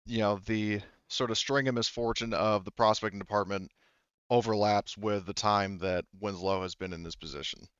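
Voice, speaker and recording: Smooth, low-voiced man as game master. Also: fairly even intonation, a bit bright, no echo, muffled recording. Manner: halting, explanatory, rephrases as he goes. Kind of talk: improvised narration of a tabletop roleplaying game.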